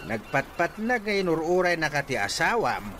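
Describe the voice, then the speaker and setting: A man's voice: a little high, very animated, moderate pace, a bit bright. A Filipino actor playing a stern father scolding his son-in-law in a radio drama. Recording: noisy radio drama track with ambience.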